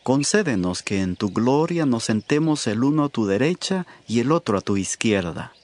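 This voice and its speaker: An actor playing an earnest, expressive disciple addressing his master in a dramatized scripture reading. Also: mid-range voice, normal speed, no echo, natural voice. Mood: earnest request.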